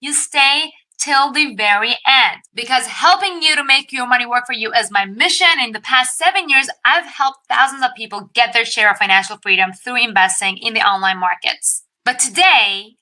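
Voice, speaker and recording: A woman's voice: fairly high pitch, moderate pace, few pauses, bright, forceful tone. A woman from Iran hosting an investing webinar, telling her personal story to viewers in an upbeat presenting style.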